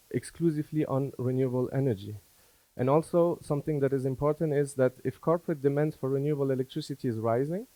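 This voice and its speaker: A man from Afghanistan who was schooled in France, giving a business presentation as a company founder, with halting, hesitant phrasing. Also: medium pitch, warm tone, dry recording, poor audio. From born in Afghanistan, schooled in France.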